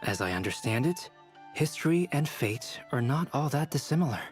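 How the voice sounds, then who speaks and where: An actor playing a detached, analytical scholar in a video game, speaking in precise, formal phrases. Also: male voice, mid-range voice, sing-song, moderate pace, over music, close to an everyday voice. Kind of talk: video game character acting.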